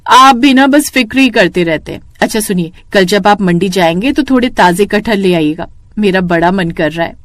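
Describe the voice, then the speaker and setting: A woman's voice: medium pitch, moderate pace, few pauses, no room sound, lightly acted. A woman voicing a loving, playful young wife in a cartoon moral story, speaking in a sweet, affectionate, coaxing way. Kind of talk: acted dialogue in a Hindi moral cartoon story.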